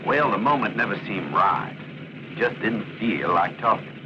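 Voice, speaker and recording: Low-voiced Texan major over heavy noise. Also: male voice, big pitch swings, moderate pace. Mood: somber, concerned. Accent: Texas. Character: troubled Air Force pilot major recounting a crash. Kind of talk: scripted reenactment narration in 1960s training film. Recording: muffled 1960s film soundtrack, heavy engine noise.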